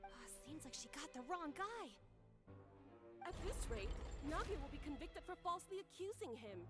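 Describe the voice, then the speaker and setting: An actress playing a small, childlike fairy companion in a video game, exclamatory and squeaky. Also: female voice, very animated, normal speed. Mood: worried. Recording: game audio under music. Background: music.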